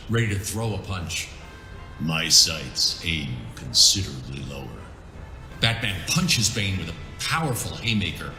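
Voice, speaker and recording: A man's voice: on the low side, bright, forceful tone, echoey room. A man reading scripted scene descriptions as the narrator of a comic book adaptation.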